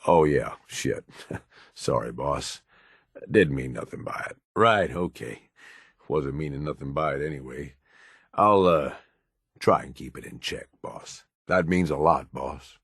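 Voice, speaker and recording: Casting: cynical game raider sidekick, deep gruff drawl, blunt and loyal, close dry sound. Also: male voice, fairly bright tone, dry recording, exaggerated voice. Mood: deferential. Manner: rough colloquial drawl, blunt, swearing. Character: gruff cynical raider henchman, grudgingly loyal, foul-mouthed. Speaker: video game companion character. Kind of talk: video game dialogue lines.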